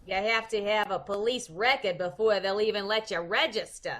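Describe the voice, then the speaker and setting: A woman's voice: medium pitch, fairly even intonation, normal speed, a bit bright, lightly acted. A woman playing a guileless, slangy, good-hearted dame in an old-time radio detective comedy. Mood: curious, naive.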